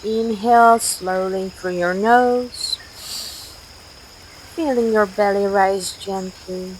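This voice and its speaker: A woman guides a sleep meditation very slowly, with gentle, soothing instructions and long pauses. Her voice sits at a medium pitch for a woman. Crickets and outdoor night ambience are heard behind her. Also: low-quality recording. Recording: steady outdoor nature noise, crickets. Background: cricket and night insect ambience.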